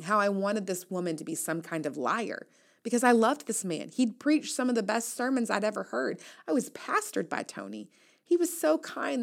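A woman's voice: on the low side, vocal fry. A woman in her thirties reading a first-person essay aloud as host, candid and reflective, with wry asides. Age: 30s. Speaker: newsletter writer and host reading an audio essay.

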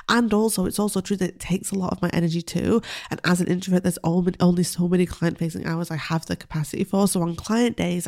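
A woman business coach talks through her solo podcast at a brisk clip, her voice stuffy and congested from a cold. Her tone is mellow and dark, and her delivery stays gentle, reflective and earnest. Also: fairly low pitch.

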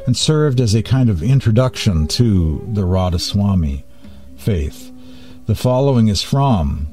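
Slow, low, mellow man hosting spiritual radio. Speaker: radio host. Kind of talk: spiritual radio talk and measured reading of teachings.

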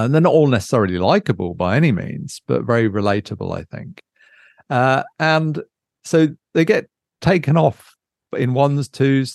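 A man's voice: mid-range voice, gravelly, a little mellow, no room sound, studio quality. A man chatting casually as a podcast host, thinking aloud hesitantly and drifting into asides. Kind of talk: casual unscripted book chat.

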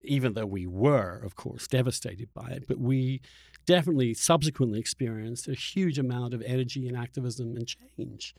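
Halting, reflective male podcast host, dry sound. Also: medium pitch, vocal fry, a little mellow, no room sound. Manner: halting, searching, repeats words as he thinks. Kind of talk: reflective conversational interview.

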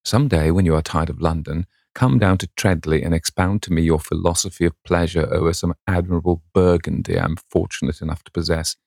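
A man voicing a courteous, bookish old gentleman with formal speech and dry wit in a storybook reading. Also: deep voice, fairly even intonation, fairly quick, gravelly, few pauses, no echo. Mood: courteous, wry. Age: older.

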